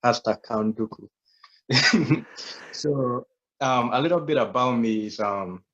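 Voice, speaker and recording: A man's voice: mid-range voice, takes it slowly, a bit bright, lo-fi sound, a little hiss or hum. A young man from South Sudan in his twenties, a humble and reflective guest telling his story in a casual interview.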